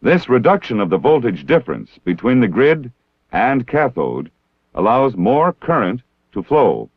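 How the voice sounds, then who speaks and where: An American man narrating a scripted training film in a formal, measured, precisely enunciated way. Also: medium pitch, sing-song, breathy edge, dry recording. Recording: dull 1960s film soundtrack.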